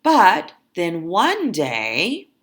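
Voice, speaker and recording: Slow, animated woman reading to children. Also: fairly low pitch. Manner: simple, deliberate explanations for young children. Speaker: teacher reading a picture book aloud. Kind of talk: expressive children's story reading with explanatory asides.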